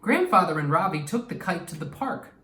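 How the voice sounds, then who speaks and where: A man reading aloud to children as a teacher, with an expressive, deliberate delivery that draws out words. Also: a little high. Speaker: storytime reader and teacher. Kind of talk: read-aloud storytime for children. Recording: echoey room, distant mic.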